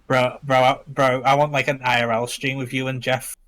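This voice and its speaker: Young man, Liverpool accent, mid-range pitch, casual slangy chat, informal streamer talk. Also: flat delivery, moderate pace, fairly bright tone.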